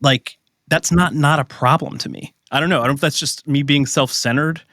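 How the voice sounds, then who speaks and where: A man, a family caregiver, talking casually in a halting, hesitant way with an exasperated edge. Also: fairly high pitch, fairly even intonation, pushed and bright, dry recording, studio quality. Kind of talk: casual conversation.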